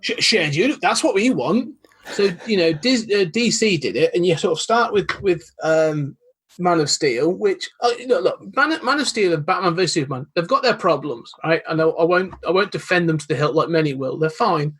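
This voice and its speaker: Podcast guest, male, raspy and a touch high, measured and reflective. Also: animated, fairly bright tone. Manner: thoughtful, measured, hedging with "you know". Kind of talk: casual chat.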